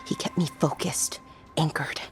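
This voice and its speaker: An actress playing a young, earnest female elf companion in a video game, grieving and guilt-ridden, with soft, halting delivery. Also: soft background music, lightly acted. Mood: grieving, subdued. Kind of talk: video game dramatic voice acting.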